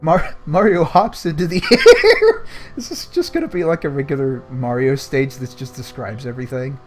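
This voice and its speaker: A man's voice: a little high, lively intonation, moderate pace, faint music behind. A man reading a storybook aloud and breaking off into casual commentary as host. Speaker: host and reader of a book club livestream.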